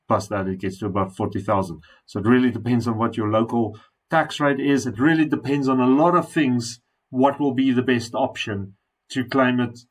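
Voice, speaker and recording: A man with a South African accent talks through tax figures as though sharing his screen with a client. His voice sits at an ordinary mid pitch, and his delivery is practical and unhurried, with small restarts as he reads the numbers. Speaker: tax accountant. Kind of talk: screen-share explainer walking through tax calculations.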